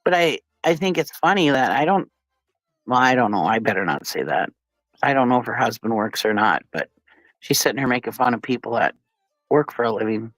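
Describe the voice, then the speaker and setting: A woman's voice: a bass voice, expressive, a little fast, dry recording. Stuffy-nosed woman hosting a blunt livestream. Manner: blunt and profane, laughs often. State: stuffy nose from a cold, sniffly. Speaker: livestream commentary host. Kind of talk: casual livestream commentary chatting with viewers.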